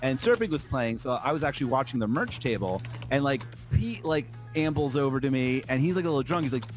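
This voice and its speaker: Male podcast host, mid-pitched, casual but opinionated and ranting, emphatic, swears freely, faint music underneath. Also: animated, fast talker. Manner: emphatic, repetitive, profane. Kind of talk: casual chat turning into opinionated rant.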